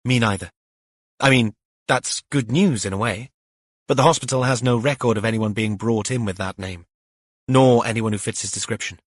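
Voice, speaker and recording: A man's voice: medium pitch, moderate pace, dry recording, clean studio sound, close to an everyday voice. A man voicing an anxious woman shop worker in an audiobook, hesitant, rambling and apologetic.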